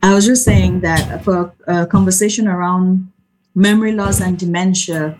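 A soft-spoken woman from Cameroon, a researcher giving an informal talk over a video call. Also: fairly low pitch, steady tone, normal speed, over music, rough recording. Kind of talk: informal presentation.

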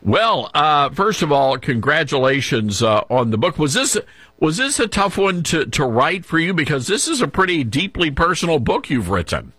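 Male radio host, upbeat interviewer, bright tone, dry close mic. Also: on the high side, big pitch swings, moderate pace, husky, no echo. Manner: upbeat, conversational, joking. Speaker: radio talk show host. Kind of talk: radio show hosting and interview.

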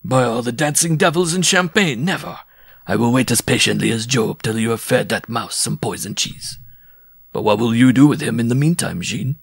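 A man's voice: medium pitch, lively intonation, moderate pace, creaky, breathy edge, no room sound, clean studio sound, pitched above the performer's own voice. A man voicing a swaggering, mocking bareback rider in a storybook reading, florid and conspiratorial with his lover. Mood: gallant, mocking.

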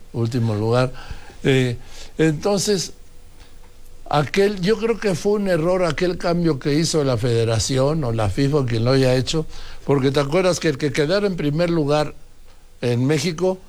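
A man's voice: mid-range voice, unhurried, darkish tone. An older man, interviewing conversationally and reminiscing as he goes.

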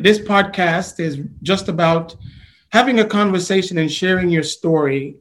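A man's voice: a little high, fairly bright tone. A man hosting a podcast interview in a formal, courteous way, sometimes tripping over his words. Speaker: podcast host.

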